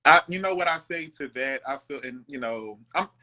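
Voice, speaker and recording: A man's voice: mid-range voice, animated, moderate pace, sharp tone. A man in his late thirties, a guest musician away from home, chatting in a laid-back way and often checking in with the listener. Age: 30s. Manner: laid-back, conversational, keeps asking "you know what I'm saying". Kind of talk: casual interview chat.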